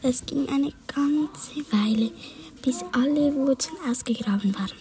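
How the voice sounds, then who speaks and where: A woman's voice: high voice, unhurried, mellow. Whispering woman telling a story. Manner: whispering, wandering asides.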